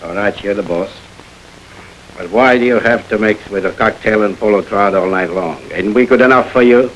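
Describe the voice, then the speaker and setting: An actor playing a tough, slangy gang thug who talks back sarcastically, in a 1930s crime film. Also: male voice, lowish voice, normal speed, a little hiss or hum, natural voice, rough recording.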